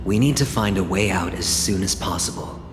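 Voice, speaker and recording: An actor playing a wry, laid-back young man deep in debt in a video game. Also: expressive, normal speed. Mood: calm. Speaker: game character. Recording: echoey room with long reverb, noise.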